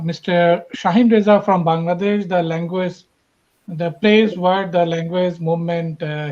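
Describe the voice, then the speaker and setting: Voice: mid-range voice, a little mellow, muffled recording. A moderator hosting an online poetry festival, speaking hesitantly with many fillers. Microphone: video call.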